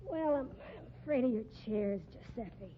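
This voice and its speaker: A woman's voice: on the high side, lively intonation, measured pace. An actress playing a sly, sarcastic circus fat lady with an American accent and a drawling, slangy manner, in 1950s radio drama style. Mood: sly, insinuating. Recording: tinny, hissy old radio recording.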